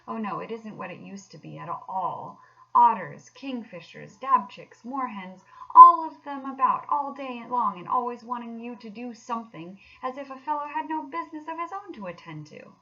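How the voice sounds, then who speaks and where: A woman's voice: on the high side, animated, normal speed, pushed and bright, lo-fi sound, voice pushed up, full character voice. A woman voicing a confident, fussy male water rat in a children's storybook, chummy and emphatic. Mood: grumbling. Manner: chummy, emphatic, old-fashioned turns of phrase. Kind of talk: children's storybook read aloud.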